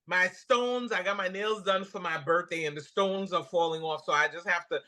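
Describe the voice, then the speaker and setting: A woman's voice: on the low side, moderate pace. A woman with a Guyanese accent, a comedian guest telling stories in a casual interview and acting out the quotes. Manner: animated storyteller, acts out quotes.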